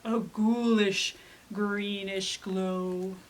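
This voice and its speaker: A woman reading a children's picture book dramatically, expressive and suspenseful, stretching out key words for emphasis. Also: medium pitch, extremely slow, slight background noise. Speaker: storytime reader.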